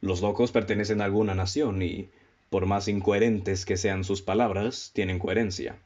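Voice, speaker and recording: A man with a Mexican accent playing a composed, analytical detective in a radio drama, speaking in a formal, didactic, deliberate way. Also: low voice, steady tone, darkish tone. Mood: reasoning. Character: analytical male detective, methodical, composed, leading his listeners. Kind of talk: radio drama acting, formal literary dialogue. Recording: dull, muffled lo-fi audio.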